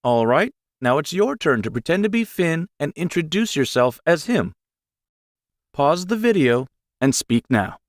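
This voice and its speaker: A man giving clear, encouraging English lesson instructions in a scripted style. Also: a little high, very animated, measured pace, no room sound, studio quality. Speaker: English teacher.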